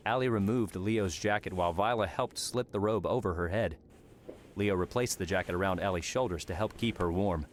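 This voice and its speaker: Low low guy narrating a story book, some noise behind him. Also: fairly quick. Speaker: audiobook narrator. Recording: clearly noisy background.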